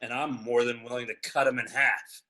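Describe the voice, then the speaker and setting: A man's voice: mid-range voice, fairly even intonation, moderate pace, pushed and bright, natural voice. A man voicing Rusty, a surly, crude tough guy, in a livestreamed script reading. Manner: gruff, crude.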